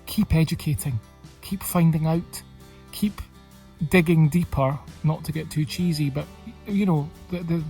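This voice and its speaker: A man giving reflective interview talk in a thoughtful, halting way. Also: a little high, warm tone. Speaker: project team member. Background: plucked guitar music.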